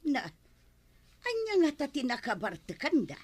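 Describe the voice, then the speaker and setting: An actress with an Ilocano Filipino accent playing a nagging, suspicious village wife in a radio comedy drama. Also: female voice, high voice, huge pitch swings, normal speed, slightly rough, exaggerated voice. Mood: puzzled, suspicious.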